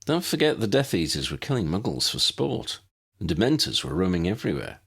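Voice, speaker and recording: A man's voice: deep voice, very animated, brisk, creaky, fairly bright tone, breathy edge, exaggerated voice. A man reading the part of an earnest, reasoning young man who chides mildly, in an audiobook. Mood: speculating.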